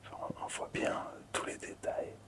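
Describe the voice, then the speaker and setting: Male ASMR host, fully whispered and breathy, soft hesitant delivery with repeated phrases. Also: moderate pace. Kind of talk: whispered ASMR presentation of collectible cards.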